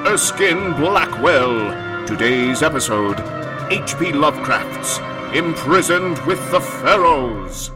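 A man's voice: on the high side, very animated, slow, poor audio. A man acting as a theatrical, portentous announcer of an old-time radio mystery show. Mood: grand. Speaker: announcer of a radio drama series. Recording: loud music behind the voice.

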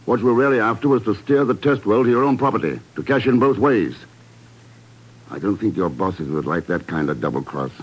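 An actor playing a cool, unflappable secret agent in a 1950s radio drama, speaking in a measured, dry manner. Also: male voice, fairly low pitch, animated, husky. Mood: coolly probing. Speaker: lead actor. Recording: muffled, noisy old radio broadcast.

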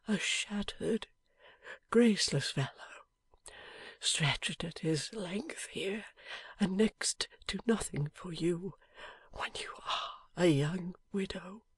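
A woman voicing a badly wounded young husband who speaks in a faint, halting, affectionate whisper in an audiobook. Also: lowish voice, breathy edge, dry recording, pitched above the performer's own voice, exaggerated voice. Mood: faint, tender. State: weak from injury. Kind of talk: audiobook character voice.

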